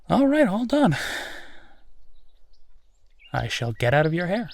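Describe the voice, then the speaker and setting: A man playing an easygoing, playful werewolf boyfriend in a roleplay audio, talking casually and haltingly with a self-deprecating streak. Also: mid-range voice, no room sound, close to an everyday voice. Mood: warm, easygoing. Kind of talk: intimate boyfriend roleplay audio, improvised-sounding one-sided conversation.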